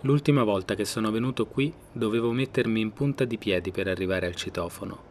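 A man's voice: on the low side, fast talker, darkish tone, a little hiss or hum. A man in his late thirties from Rome, reading scripted first-person radio narration. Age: late 30s. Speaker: documentary author and narrator.

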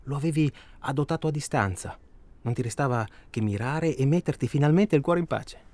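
A male actor as a self-absorbed man on a hunt, with a middling pitch. He rambles in a colloquial, naturalistic radio-drama way, hesitating and stalling, quick to get irritable. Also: darkish tone, lightly acted. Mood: hesitant, self-justifying. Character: self-absorbed, stalling male tourist hunting human prey.

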